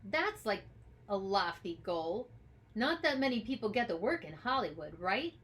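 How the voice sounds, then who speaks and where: A woman's voice: medium pitch, very animated, moderate pace, fairly bright tone. Smirking middle-grade bully boy, acted by a woman, storybook: snide, sneering, doubtful. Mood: smug, doubting.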